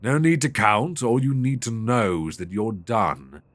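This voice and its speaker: A British man playing a stern, possessive, dominant lover in an intimate ASMR roleplay, speaking deliberately and commandingly. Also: on the low side, no echo, natural voice. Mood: calmly commanding. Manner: slow, deliberate, commanding with soft endearments.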